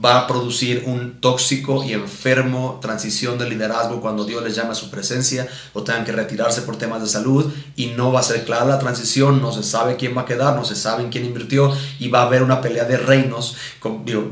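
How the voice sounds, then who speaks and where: Male pastor, Mexican accent, average pitch. Instructive preaching with repeated points and check-in questions, recorded with noticeable room echo. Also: little pitch movement, fairly quick. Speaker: pastor teaching at a missions conference. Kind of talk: teaching sermon with Bible readings. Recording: roomy, echoing sound.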